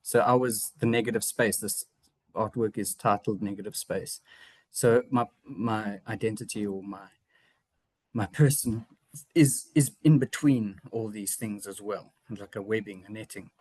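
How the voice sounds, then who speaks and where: An artist from South Africa with a South African English accent, giving a reflective seminar presentation about his own work, pausing often with fillers and restarts. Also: fairly low pitch, a little mellow, dry recording. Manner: hesitant, frequent fillers and restarts; reflective, personal.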